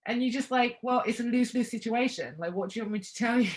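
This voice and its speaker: A woman's voice: fairly high pitch, fast talker. A young woman talking casually as an interview guest, reflective, with hesitant restarts and light laughs.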